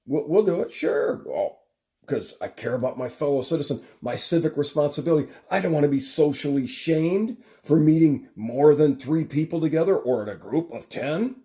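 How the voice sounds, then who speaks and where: A man speaks in a warm, mellow voice. He delivers an unscripted monologue, earnest and rambling. He often stops and restarts his sentences. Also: fairly high pitch, animated, moderate pace.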